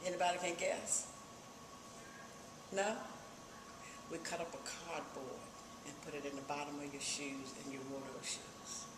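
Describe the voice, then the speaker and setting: A woman's voice: sits low, steady tone, measured pace, pauses often. An older woman from Virginia with a Southern accent, reminiscing emphatically and repeating phrases for weight. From Virginia, United States. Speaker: oral history interviewee. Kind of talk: personal reminiscence. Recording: echoey room with clear background noise.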